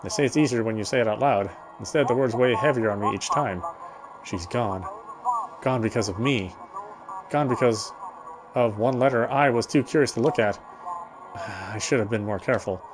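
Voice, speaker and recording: A man reading a visual novel's text aloud as dramatic narration, solemn and a little halting. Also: medium pitch, over music, poor audio. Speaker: game player reading story text aloud.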